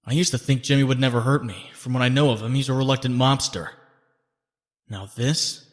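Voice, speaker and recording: A man's voice: medium pitch, fairly even intonation, a little fast, lightly acted. Man as young superhero, long echoing reverb. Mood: uneasy, reflective. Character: young male superhero narrating his inner thoughts. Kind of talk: comic book dub, dramatic monologue.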